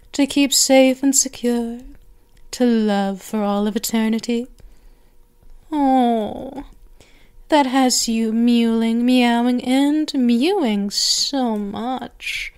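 A woman's voice: a little high, a little mellow, clean tone. A woman performing an intimate ASMR roleplay as a doting, teasing, possessive vampire mistress, cooing and sometimes slipping into whispers. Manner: slow, cooing, with whispered phrases and drawn-out words.

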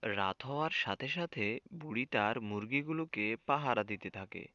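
A man's voice: mid-range voice, animated, normal speed, sharp tone, dry recording, lo-fi sound. A man narrating a scripted children's folk tale.

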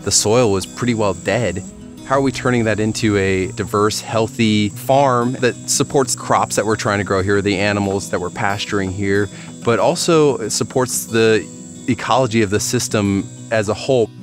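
A man with a fairly low voice speaks as a farmer in a documentary interview. There is faint music behind him. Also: steady tone, normal speed.

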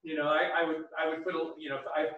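A man speaking haltingly as a play director at an informal talkback, repeating words, recorded from far off. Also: fairly high pitch, level tone, phone-quality sound. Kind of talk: informal remarks at a post-show talkback. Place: small theatre stage. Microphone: distant camera. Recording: echoey theatre stage from far off.

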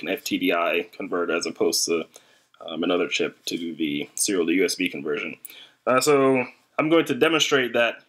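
A man's voice: on the low side, fairly bright tone. A young man giving a casual, informal tutorial walkthrough as a hobbyist demonstrator, a little hesitant as he goes. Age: young adult. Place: small indoor room at a desk. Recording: faint background noise, clicks.

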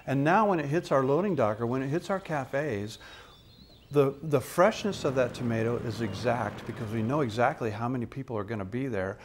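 A man's voice: medium pitch, brisk, slight background noise. Earnest older man explaining his work. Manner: earnest, explanatory, with small restarts. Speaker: head of corporate dining. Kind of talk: on-camera interview. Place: office indoors.

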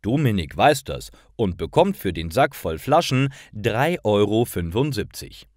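Slow, expressive low-voiced male story narrator. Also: dry recording, studio quality. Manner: careful, deliberate enunciation. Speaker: language-course narrator. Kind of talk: scripted story narration for language learners.

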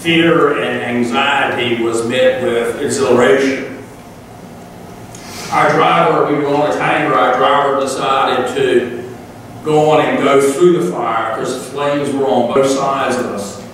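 A man's voice: mid-range voice, steady tone, unhurried, fairly bright tone, slight background noise. A man in his sixties, a retiring pastor, giving a sermon with folksy storytelling and dry humor in a large church sanctuary. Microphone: far camera, room pickup. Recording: echoey sanctuary from far off.

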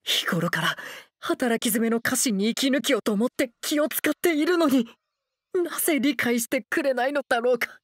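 Playful, whiny young anime lord. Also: male voice, extremely high pitch, lively intonation, moderate pace, a bit bright, dry recording, studio quality. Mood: pouting. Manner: sing-song wheedling, theatrical pouting. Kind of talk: anime voice drama comedy acting.

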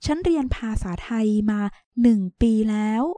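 Woman reading lesson phrases really fast, kinda high pitch, dry mic. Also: warm tone, dry recording. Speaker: Thai phrase reader.

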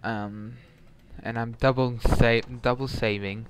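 A young man talks through a horror game at an unhurried, drawn-out pace, muttering reactions and asides to viewers. His voice sits at an ordinary middle pitch. A steady hiss of noise runs under his headset recording from home. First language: Swedish. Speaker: gamer. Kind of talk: let's play commentary. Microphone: headset mic.

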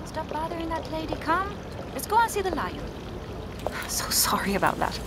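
A woman's voice: extremely high pitch, sing-song, normal speed. Wary young mother, noisy outdoors. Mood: hesitant. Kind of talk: audio drama scene.

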